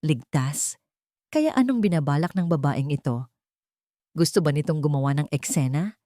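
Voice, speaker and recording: A woman's voice: low voice, animated, moderate pace, warm tone, no room sound. A female narrator plays a domineering melodrama husband, suspicious and agitated. He sounds insistent and rattled, in a dry recording. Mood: alarmed anger.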